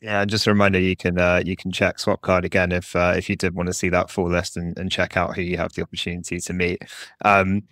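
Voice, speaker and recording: A male reporter hosting a podcast, talking quickly and casually with lots of fillers. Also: low-pitched, dry recording. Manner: quick and relaxed, many fillers like "um" and "like". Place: home office near London, dry sound.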